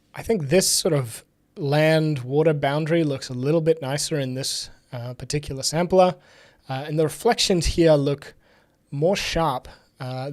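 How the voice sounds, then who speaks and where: Slightly raspy man thinking aloud. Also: mid-range voice, a little mellow. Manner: thinking aloud with frequent fillers, dry sarcastic asides. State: raspy voice recovering from illness. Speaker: livestream host. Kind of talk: casual live tech demo commentary. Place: home office with bookshelves, indoors.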